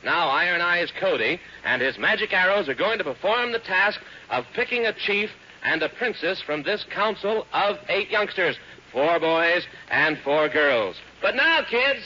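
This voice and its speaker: A man's voice: high-pitched, sing-song, unhurried, pushed and bright. A man with an American accent hosting a 1940s children's radio show as a hearty, folksy showman. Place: theater stage. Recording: dull, noisy old radio broadcast recording.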